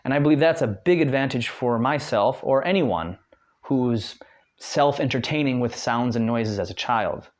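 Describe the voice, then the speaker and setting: A man's voice: mid-range voice, animated, moderate pace. A young American man from Detroit giving a casual spoken lesson, chatty, with many fillers and restarts. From Detroit, United States. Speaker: guest language learner.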